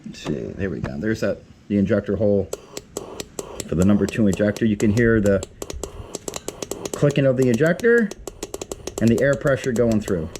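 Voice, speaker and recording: A man with a fairly low voice narrates a hands-on repair in a casual way. He talks in short, muttered steps as he works. Tools clank and workshop noise is clearly heard around him. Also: very animated, moderate pace, pauses often. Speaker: DIY mechanic demonstrating a repair test. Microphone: handheld camera moved around while working.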